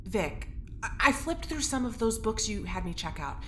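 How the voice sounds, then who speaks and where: Casting: actress as tough-talking woman inmate, mid pitch, slangy and resolute, faint background noise. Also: big pitch swings, normal speed, sharp tone. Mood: blunt. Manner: blunt, slangy. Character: Jules, blunt, determined female prisoner plotting escape. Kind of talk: naturalistic audio drama acting.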